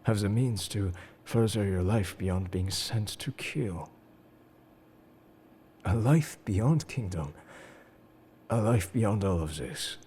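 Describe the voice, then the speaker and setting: A man playing a suave, enigmatic, quietly world-weary secret agent in an intimate, flirtatious audio roleplay, speaking to the listener in a coaxing, theatrical way. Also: fairly low pitch, lively intonation, slow, long pauses, a little hiss or hum. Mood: suave, confiding. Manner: smooth-talking, coaxing, theatrical. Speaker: voice actor in fan audio roleplay.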